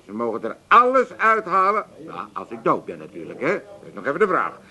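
An actor playing a boastful, pedantic old drinker in a comedic radio play, argumentative and pompous. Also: male voice, medium pitch, wildly swooping pitch, a little fast, sharp tone, full character voice. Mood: boastful, comic. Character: boastful old boozer, hypochondriac pedant correcting grammar.

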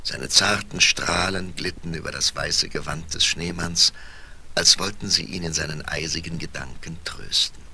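A man narrating a scripted fairy tale in a very deep, gravelly voice, with measured, lyrical storytelling. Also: pushed and bright, heavily acted. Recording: faint hiss of vinyl transfer.

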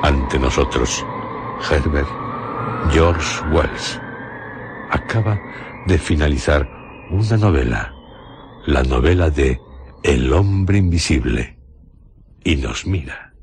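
A man narrating radio drama solemnly and theatrically, in short phrases parted by long pauses. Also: very deep voice, expressive, gravelly, a little hiss or hum. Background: music behind the voice.

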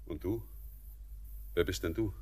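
Stern mining foreman with feeling, low voice, declamatory in classic radio play. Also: fairly even intonation, unhurried, a little mellow. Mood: commanding. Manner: declamatory, earnest. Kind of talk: poetic German radio play acting.

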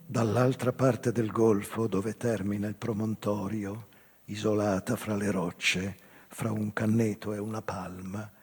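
In a reverberant hall over plucked guitar, a wistful man telling of a lost love. He speaks slowly and deliberately, in a dark, mellow voice, as a theatrical monologue full of pauses and haunted intensity. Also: fairly low pitch. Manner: slow, deliberate, pauses, sometimes dropping to near whisper. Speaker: actor narrating a literary monologue. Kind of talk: theatrical dramatic reading of a first-person story. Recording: reverberant hall, live guitar music behind. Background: plucked guitar music.

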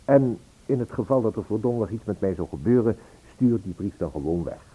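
An actor playing an uneasy musician who hides his worry, speaking affectionately and haltingly in a radio play. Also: on the low side, lively intonation, warm tone, husky. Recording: muffled, narrow old radio play recording.

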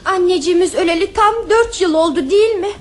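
A woman's voice: extremely high pitch, quick pace. A woman in a radio play voicing a stubborn little girl who is the youngest of several siblings.

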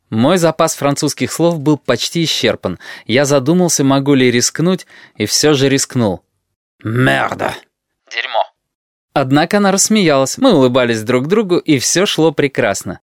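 Casting: male first-person audiobook reader, mid-pitched, even and measured, very dry studio sound. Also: no echo. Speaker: audiobook narrator.

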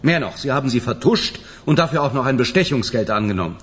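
An actor in a radio play voicing a stern, accusing police general with a clipped, authoritative way of interrogating. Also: male voice, medium pitch, expressive, fast, a bit bright, close to an everyday voice. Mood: cold, probing. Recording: long hall-like reverb.

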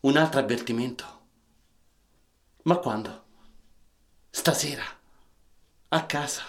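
A man playing a troubled, rough-talking Roman in a radio drama, with a Roman Italian accent and colloquial, emphatic delivery. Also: mid-range voice, slow pace. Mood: agitated, defiant. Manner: colloquial, emphatic, short bursts of questions.

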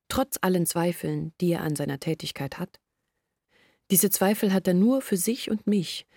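A woman's voice: lowish voice, dark tone, breathy edge, no echo, clean studio sound. An actress playing a young village woman who earnestly confides her family's troubles in a radio play. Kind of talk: German radio play monologue.

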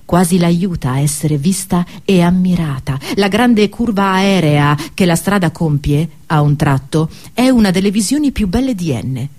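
Female narrator for literary radio drama, lowish register, measured and deliberate delivery of scripted prose. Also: expressive, darkish tone. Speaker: radio theatre narrator and reader. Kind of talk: scripted literary narration of a fictional travel tale.

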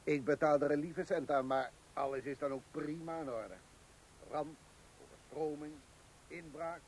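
An actor playing a meddling, boastful know-it-all neighbour in a radio comedy play, pompous and pushy. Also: male voice, fairly high pitch, steady tone, moderate pace, darkish tone, a little hiss or hum, lightly acted. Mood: boastful, scolding.

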